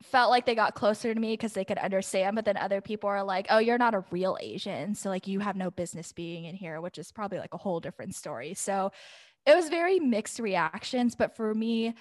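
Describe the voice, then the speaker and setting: A woman's voice: mid-range voice, fairly quick, no echo. A young adult woman speaking as a podcast guest, telling personal stories in a chatty, informal and long-winded way. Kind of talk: casual conversational personal storytelling.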